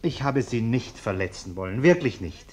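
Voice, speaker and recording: Actor as a pompous bourgeois gentleman, swooping delivery. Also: medium pitch, lively intonation, normal speed, a little hiss or hum, close to an everyday voice. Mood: appeasing, earnest. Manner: over-polite, self-justifying, blustering. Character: respectable bourgeois businessman, ingratiating host, pompous and irascible. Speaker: actor in a radio play. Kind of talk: German radio play acting.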